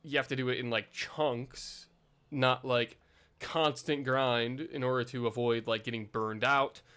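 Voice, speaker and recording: A man's voice: medium pitch, fairly even intonation, unhurried, fairly bright tone, smooth. A young man vlogging in a casual, rambling way, full of fillers and self-deprecating asides.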